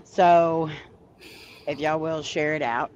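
A woman hosting a live stream over a video call, telling stories in a chatty, animated way and laughing as she goes. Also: sits low, slow, slight background noise. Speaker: host of a paranormal live stream. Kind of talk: casual storytelling chat. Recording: slightly muffled video-call audio.

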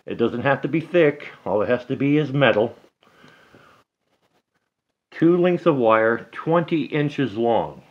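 A middle-aged man giving a casual hands-on repair tutorial at a table indoors, talking slowly and haltingly, half to himself as he works. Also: mid-range voice, animated, highs cut off. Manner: slow, halting, talks to himself while working. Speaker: DIY hobbyist demonstrating a repair.

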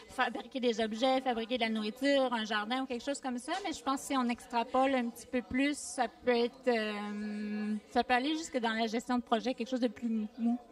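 A woman with a fairly high voice thinks aloud, with many pauses and fillers. The handheld mic sits a little away from her. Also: far from the mic. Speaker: vox pop participant. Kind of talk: casual street interview. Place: community workshop space indoors.

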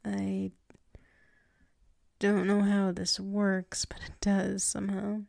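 A woman playing a flustered, submissive tsundere werewolf in an intimate ASMR roleplay, halting and murmuring, often slipping into whispers close to the mic. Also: mid-range voice, completely flat delivery, gravelly, a little mellow, no echo. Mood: musing, teasing. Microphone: close microphone.

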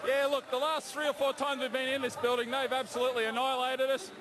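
A basketball player in his thirties with a very high voice, full of upbeat, cocky banter. A roaring crowd fills the arena around him. His words come through a handheld mic. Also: flat delivery, quick pace. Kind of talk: post-game interview. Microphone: handheld microphone held by interviewer. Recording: loud arena crowd noise.

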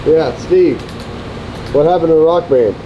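A man's voice: on the high side, a little slow, muffled recording, background noise. A man trading jokes and profane trash talk with his crew in a garage. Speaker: shop crew member. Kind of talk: casual shop banter.

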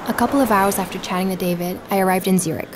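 An actress playing an inquisitive, persuasive woman podcaster in an audio drama, narrating and chatting in a measured, natural way. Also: mid-range voice, steady tone, heavy background noise, close to an everyday voice. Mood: reflective. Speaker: podcast narrator and lead character.

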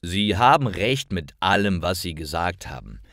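Man reading a deep, courteous boss. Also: sing-song, normal speed, creaky, a bit bright, dry recording, studio quality, exaggerated voice. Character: calm, courteous male company boss, generous.

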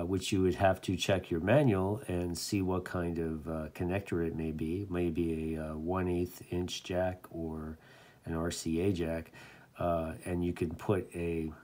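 A man casually demonstrating as a radio hobbyist, talking in a halting, rambling, easygoing way. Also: low voice, darkish tone. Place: indoors at a radio desk.

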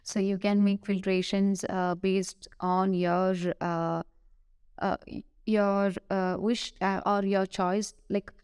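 A woman with an Indian English accent giving a screen-recorded software tutorial, hesitant, with many "uh" fillers and self-corrections. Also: medium pitch, flat delivery, slow, clean tone, no room sound, studio quality.

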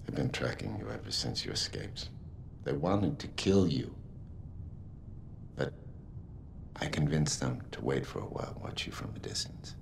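A middle-aged man acting a concerned, guarded scientist in a video game drama, soft-spoken and measured, with a gravelly voice. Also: big pitch swings, full character voice. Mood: apologetic, grave. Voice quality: gravelly, breathy at phrase ends. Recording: low rumbling background noise.

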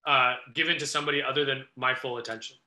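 A man's voice: medium pitch, steady tone, fast, sharp tone. An American man in his thirties answering questions conversationally as a guest restaurateur on a video call. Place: small indoor office. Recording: echoey room on video call.

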